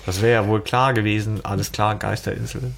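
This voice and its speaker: A man's voice: on the low side, steady tone, a little fast, vocal fry, a little hiss or hum. A man giving informal, firm opinions as co-host of a fan podcast. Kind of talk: casual fan podcast chat.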